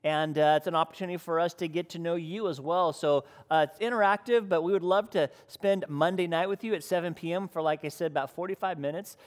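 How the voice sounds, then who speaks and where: A man's voice: fairly high pitch, fast talker, hardly any pauses, big-room sound. A middle-aged man hosting casual church announcements and interviews in an informal, conversational way. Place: indoors, church stage.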